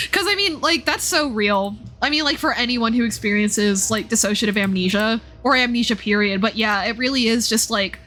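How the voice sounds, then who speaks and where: A woman live-streaming a game with casual, expressive, sweary commentary full of exclamations. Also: a little high, moderate pace, hardly any pauses. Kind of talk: casual reactive gameplay commentary.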